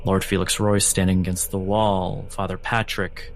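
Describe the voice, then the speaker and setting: A man narrating a tabletop game as game master, with dramatic, suspenseful and deliberate phrasing. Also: sits low, flat delivery, creaky, a little hiss or hum.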